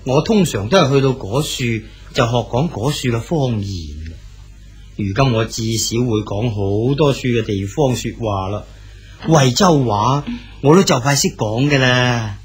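A man's voice: medium pitch, a little slow, natural voice. An actor playing an exiled male poet official in his sixties, easygoing and consoling in a radio drama. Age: 60s. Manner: calm, good-humoured, consoling.

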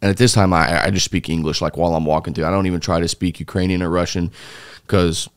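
Guest: deep-voiced man in his thirties, rambling casual storytelling, dry close studio mic. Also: steady tone, fast, clear, resonant tone, dry recording. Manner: loose, rambling telling with many fillers and restarts. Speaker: interview guest, former foreign volunteer fighter. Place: small dark podcast studio. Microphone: studio mic on boom arm.

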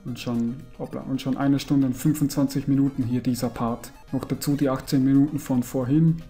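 A man with a broad Austrian dialect, mixing Carinthian and Viennese sounds, talks his way through a retro platformer. His voice is dark and mellow, and he mutters and grumbles at the game between chatty remarks. Faint game music plays underneath. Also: medium pitch, steady tone, brisk. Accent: Austrian, Carinthian and Viennese dialect mix. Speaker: let's player. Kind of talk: casual gaming commentary.

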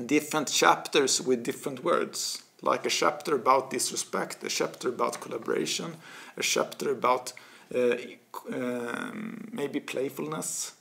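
Swedish man, 40s, interview guest; bright edge, some fry; stumbles and repeats words mid-thought. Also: medium pitch, measured pace, hardly any pauses. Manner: animated, gestures a lot, repeats words while searching. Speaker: guest, author. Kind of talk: casual interview talk. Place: small office studio with bookshelves, indoors. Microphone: desk studio mic.

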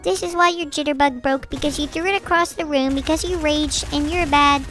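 A man voicing a whiny, boastful little kid gamer through a pitch-raising voice changer, chattering excitedly during a multiplayer game. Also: a little fast, faint music behind. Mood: taunting. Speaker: video game streamer.

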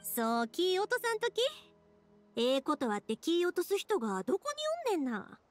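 Warm young big-sister girl, high voice, Kansai accent, reassuring, earnest, anime game acting, faint music behind. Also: big pitch swings, moderate pace. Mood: encouraging.

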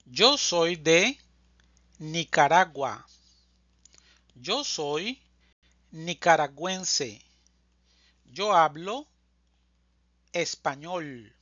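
Super slow teacher reading drill phrases one by one, lively pitch, bit dull lo-fi recording. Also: dry recording. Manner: deliberate, phrase-by-phrase enunciation. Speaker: Spanish teacher. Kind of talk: slow language drill, scripted narration.

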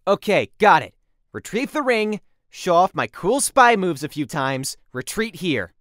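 Someone acting a cocky, excitable young rookie spy. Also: medium pitch, a little slow, no echo, clean studio sound. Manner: boastful, blurting, easily flustered. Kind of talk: animated web series voice acting. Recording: music behind the voice.

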